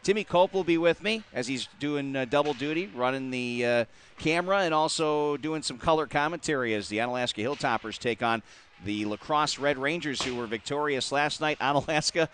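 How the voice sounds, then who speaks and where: A man from the Upper Midwest hosting a local hockey talk show, chatty and quick to laugh, with many filler words. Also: on the high side, a bit bright, slight background noise. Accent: Upper Midwest American. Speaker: host. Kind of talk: casual sports talk.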